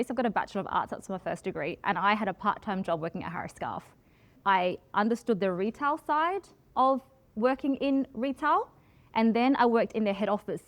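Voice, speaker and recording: Loud, direct woman speaker. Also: on the high side. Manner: direct, passionate, emphatic. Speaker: project manager giving a talk. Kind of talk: presentation talk with Q and A.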